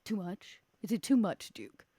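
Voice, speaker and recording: A woman playing an elegant, superior vampire schemer in a tabletop game, with a measured, pompous, theatrical manner. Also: medium pitch, sing-song, mellow, breathy edge, no room sound. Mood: casually superior.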